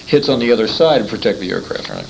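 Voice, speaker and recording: A man's voice: fairly low pitch, huge pitch swings, fast talker, gravelly. An American man chatting and telling stories casually, plainspoken, often repeating words as he finds his phrasing. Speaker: interviewer, military veteran. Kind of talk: casual conversational storytelling. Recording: faintly noisy informal interview recording.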